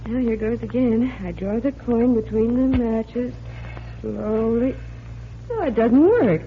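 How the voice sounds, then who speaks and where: An actress playing an eager, curious magician's assistant in a 1940s radio drama. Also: female voice, fairly high pitch, slow. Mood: trying, doubtful. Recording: muffled 1940s radio recording, faint hiss.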